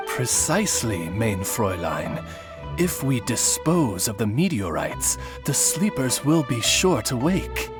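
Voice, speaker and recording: A man's voice: mid-range voice, sing-song, slow pace, music in the background. A man voicing a composed, devoted raven familiar in a video game, speaking formally and politely like a butler.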